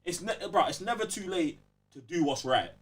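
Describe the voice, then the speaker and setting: Higher-voiced young London man, slightly distant. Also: animated, normal speed. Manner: emphatic, slangy, repeats check-in tag phrases. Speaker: podcast host. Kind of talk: casual podcast discussion.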